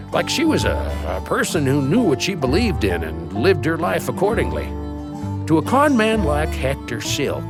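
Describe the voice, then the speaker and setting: A man playing a folksy, wry Old West storyteller, narrating a scripted audio drama dramatically. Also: mid-range voice.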